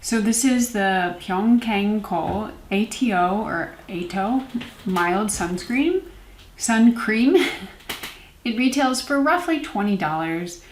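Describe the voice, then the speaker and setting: A woman in her thirties talks casually to camera as a beauty vlogger. Her voice sits at a medium pitch, and her delivery is informal and chatty, with self-deprecating asides. The sound is noticeably roomy, with the echo of an indoor space. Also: animated, slow pace, a little hiss or hum. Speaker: beauty vlogger, series host. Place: small room at home, indoors.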